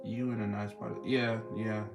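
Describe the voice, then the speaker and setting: A man's voice: fairly low pitch, level tone, moderate pace, creaky. A young man with a Texan accent, hosting a casual live stream and chatting with callers in a laid-back, slangy way. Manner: laid-back, slangy, reading and answering chat. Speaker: streamer host. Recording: loud music playing behind.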